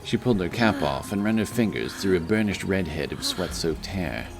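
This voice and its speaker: A man's voice: sits low, expressive, normal speed, gravelly. A man reading scripted narration for a fantasy story as its narrator.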